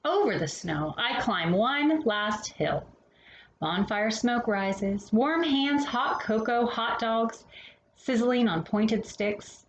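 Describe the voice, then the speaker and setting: Expressive woman storyteller, higher-pitched, roomy sound. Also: slow. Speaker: storytime host reading a picture book aloud. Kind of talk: expressive children's storybook reading. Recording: roomy echo with faint background noise.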